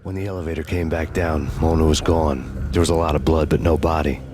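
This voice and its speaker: A bitter, hardboiled ex-cop narrating his revenge in noir voice-over. His voice is extremely deep and barely moves in pitch, brooding and deadpan. A loud music score runs underneath. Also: a little fast. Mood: grim.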